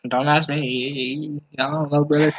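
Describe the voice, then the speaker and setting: A young man with a slightly high voice talks casually and loosely, with lots of slang. He sounds like a co-host chatting with friends over a slightly lo-fi voice call. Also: flat delivery, unhurried. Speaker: podcast co-host, visual novel creator. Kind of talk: casual chat. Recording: dull lo-fi online voice chat.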